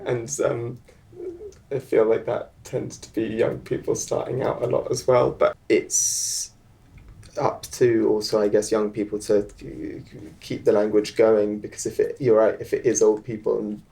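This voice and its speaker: A young man, a filmmaker guest, chatting at a live panel in a halting way, with many ums and restarts. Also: lowish voice, little pitch movement, gravelly, mellow. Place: live event on stage.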